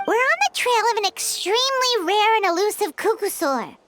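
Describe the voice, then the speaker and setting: A woman voicing a tiny, eager, childlike fairy companion in a video game, squeaky and chirpy. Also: animated, normal speed, a bit bright, slight background noise.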